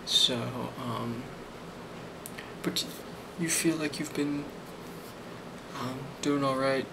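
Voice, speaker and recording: A man speaking softly in an ASMR role play as a boss, hesitant and reassuring, with frequent pauses. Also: mid-range voice, fairly even intonation, gravelly, noisy background. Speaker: ASMR role-player playing a boss giving a review.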